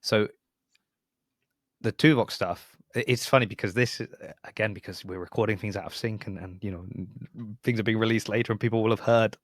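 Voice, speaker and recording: A man's voice: fairly low pitch, steady tone, brisk, no echo, clean studio sound. A man hosting a podcast in casual fan discussion, jokey and given to voicing imagined remarks. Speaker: podcast host.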